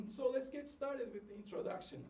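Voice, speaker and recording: Man from turkey giving a seminar talk, casual and fillery, mellow voice, echoey room, far from the mic. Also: very high-pitched, normal speed. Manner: chatty and informal, many fillers. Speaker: seminar presenter. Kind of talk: conference presentation.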